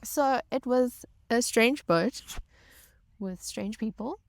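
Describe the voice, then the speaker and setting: Casting: female vlog host, chatty and wry, unhurried halting delivery, dry close recording. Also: a little high, gravelly, no room sound. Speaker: vlog host telling stories. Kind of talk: casual personal storytelling.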